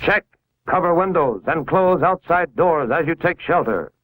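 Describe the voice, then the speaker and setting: A man's voice: on the high side, lively intonation, unhurried, fairly bright tone, rough recording. An American man narrating a scripted 1950s civil defense film in a formal, authoritative, instructional manner, on a vintage film soundtrack. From United States.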